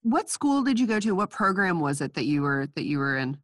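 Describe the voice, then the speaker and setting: A woman's voice: on the low side, big pitch swings, brisk, no room sound, studio quality. A woman hosting a podcast interview, a little nasal from a cold, chatty and restarting her sentences. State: has a cold, a bit nasal. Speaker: podcast host. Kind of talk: casual interview.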